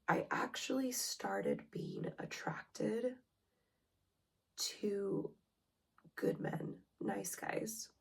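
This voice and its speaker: A young woman getting over a cold, hoarse and breathy, talking through a personal self-help vlog with hesitant ums. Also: medium pitch. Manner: slow, hesitant, with many ums and soft whispery breaths. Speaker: relationship vlogger.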